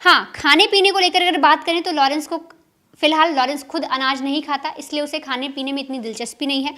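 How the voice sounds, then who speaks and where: High-pitched woman reporting crime news. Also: steady tone, a little fast, sharp tone. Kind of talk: explanatory news commentary to camera.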